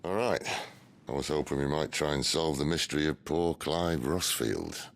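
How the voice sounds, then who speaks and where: A man voicing a wry middle-aged rebel leader in a video game, with a gravelly British voice and a dry, sardonic, conversational delivery. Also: a bass voice, heavily acted. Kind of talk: video game cutscene acting.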